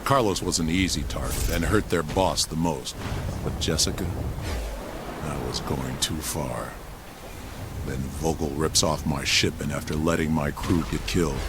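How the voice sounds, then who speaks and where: A man's voice: extremely low pitch, normal speed. An actor playing a bitter, vengeful crime gang boss recounting his grudges in a video game audio log. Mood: bitter, resentful. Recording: noisy game capture.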